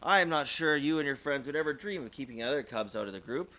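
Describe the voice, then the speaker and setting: A man's voice: medium pitch, fast talker, bright, forceful tone, close to an everyday voice, poor audio. Man voicing a patient, caring mother bear. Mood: soothing. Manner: soothing, patient.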